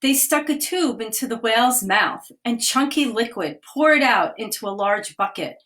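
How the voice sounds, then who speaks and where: A woman, a children's author, reading a picture book aloud on a live video stream, with gentle read-aloud pacing and pauses to point out the pictures. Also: fairly high pitch, expressive. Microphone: phone or webcam on a live video stream.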